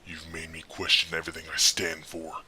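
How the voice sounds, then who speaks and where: An actor playing a brooding, world-weary masked vigilante in an audio drama, with a raspy growl and a slow, grave delivery. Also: male voice, very deep voice, monotone, pushed and bright, full character voice. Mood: weary pleading.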